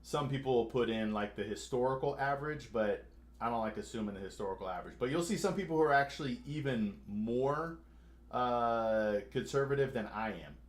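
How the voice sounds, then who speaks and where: A man's voice: mid-range voice, fairly quick. Easygoing male livestream host. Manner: easygoing, talks like a buddy. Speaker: livestream host, financial advisor. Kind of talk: casual livestream chat. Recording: echoey room.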